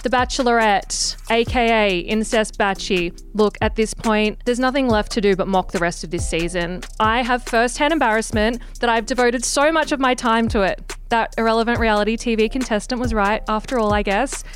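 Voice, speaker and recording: A woman's voice: on the high side, normal speed, faint music behind. Sassy sarcastic young woman host. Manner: sassy, sarcastic and irreverent, swears freely. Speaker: podcast host. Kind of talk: casual gossip podcast monologue.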